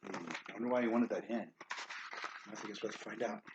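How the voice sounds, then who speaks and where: A woman reading a children's story aloud in an animated way, with casual asides. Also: a bass voice, fast talker, lo-fi sound. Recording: roomy echo, faint background noise.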